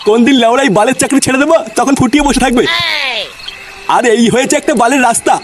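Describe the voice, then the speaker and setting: A man voicing a gruff, foul-mouthed old grandfather in a cartoon, talking fast and cursing. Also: very high-pitched, steady tone, a crowd in the background. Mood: grumbling. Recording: noisy background.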